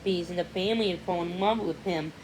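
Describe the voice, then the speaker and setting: A woman reading a children's storybook aloud as narrator, somewhat haltingly, sometimes repeating or correcting a word. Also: on the low side, darkish tone, slight background noise, low-quality recording. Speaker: read-along narrator.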